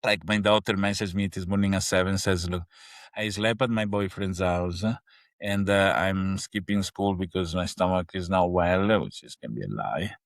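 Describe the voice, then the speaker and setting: A man's voice: sits low, normal speed, no room sound, clean studio sound. A man in his fifties with an Italian accent, telling rambling stories in a casual podcast chat. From Italy. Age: 50s. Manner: rambling storyteller, animated. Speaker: podcast co-host.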